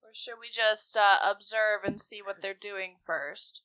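A woman's voice: mid-range voice, a little slow, sharp tone, poor audio. A woman playing a tabletop role-playing game, talking casually with the other players. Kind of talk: casual chat.

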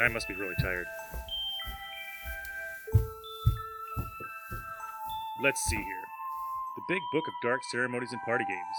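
A man's voice: fairly low pitch, lightly acted. A man acting a jittery, skeptical man who is spooked and agitated, in an amateur audio drama. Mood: muttering, puzzled. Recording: loud music over the voice.

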